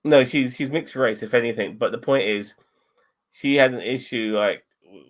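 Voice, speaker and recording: A man from hosting a podcast in casual chat, relaxed and halting. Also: medium pitch. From London. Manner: relaxed, halting, many restarts. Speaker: podcast host.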